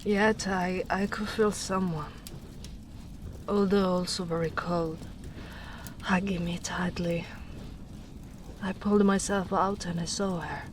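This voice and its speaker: A woman voicing a gentle, protective big female ogre in a tender, soft-spoken ASMR roleplay, often slipping into whispers. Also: lowish voice, steady tone, slow pace, pauses often, slight background noise. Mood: somber.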